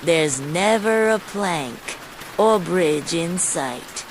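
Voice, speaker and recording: Give me a woman narrator playing a kindly young girl from a fairytale, earnest and slow in her speech. Rain patters clearly behind the storybook voice. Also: fairly low pitch. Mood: pleading. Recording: steady rain noise over the voice.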